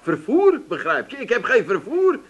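An actor playing a gloomy, distrustful, penniless brother-in-law with an Amsterdam accent in a radio comedy. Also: male voice, high-pitched, huge pitch swings, moderate pace, slightly rough, muffled recording, heavily acted. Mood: self-pitying. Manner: complaining, theatrically self-pitying.

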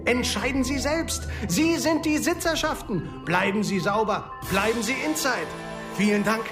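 An actor playing an authority chief who delivers a formal, rhetorical, emphatic political speech in a radio play. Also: male voice, very high voice, measured pace, over loud music. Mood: official, emphatic. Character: smooth-talking male authority chief addressing an assembly.

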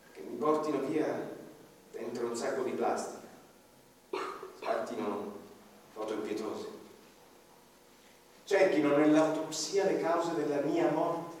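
A man performing a radio-theatre monologue as a dead man telling his own story, solemn and slow, his voice swelling and then sinking low. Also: darkish tone, a little hiss or hum. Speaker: narrator. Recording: echoey hall, voice far from the mic. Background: violin music.

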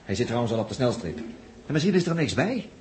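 A man's voice: mid-range voice, big pitch swings, quick pace, slight background noise, close to an everyday voice. An actor playing a practical, easygoing crewman in a 1960s radio drama, casual and knowing.

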